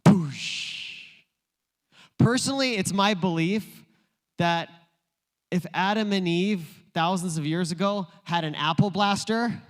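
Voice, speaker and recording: A man's voice: high-pitched, slow pace. A male pastor preaching a sermon in a playful, joking storytelling way. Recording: long reverb in a large room.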